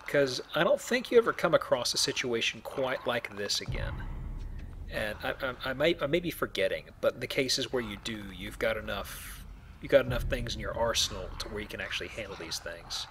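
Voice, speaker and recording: A man's voice: mid-range voice, expressive, a little fast, a bit bright, slight background noise. Rambling man explaining a video game. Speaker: let's play host, playing the game. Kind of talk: casual game commentary and explanation.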